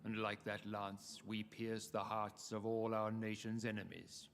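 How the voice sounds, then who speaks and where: Grandiloquent British vampire lord. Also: male voice, on the low side, level tone, creaky, a bit bright, slight background noise. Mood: courteous, imperious. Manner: formal, grandiloquent, measured. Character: ancient aristocratic male vampire lord, founder of a secret club. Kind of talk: scripted video game dialogue.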